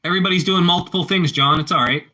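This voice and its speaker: Pittsburgh guy chatting with his stream, rambly, says stuff twice, real sharp bright voice. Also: high voice, very fast. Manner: rambling, repeats himself, talks back to chat. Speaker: livestreamer chatting with viewers. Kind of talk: casual live-stream chat.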